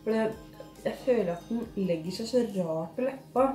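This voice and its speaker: A young woman with a Norwegian accent chatting in a casual haul vlog, gushing and expressive, with lots of sighs and fillers. She sits at a living-room table in front of a fixed camera. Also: on the high side, reverberant, faint music behind. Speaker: beauty and lifestyle vlogger. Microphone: fixed camera at table distance.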